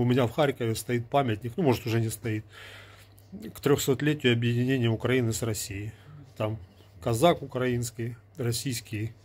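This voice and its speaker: Husky-voiced man ranting to camera. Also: mid-range voice, a little mellow. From Ukraine. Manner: argumentative, sarcastic, lecturing. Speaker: vlogger. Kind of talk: casual talk to camera. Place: small indoor room with gear. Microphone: earbud mic, phone at arm's length.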